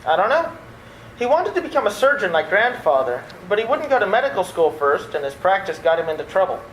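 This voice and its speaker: A woman's voice: medium pitch, big pitch swings, brisk, lightly acted. A woman playing an exasperated, wry and incredulous nephew in a radio play. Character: exasperated, flustered nephew, an adult man. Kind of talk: old-time radio play acting. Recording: echoey room, slight background noise.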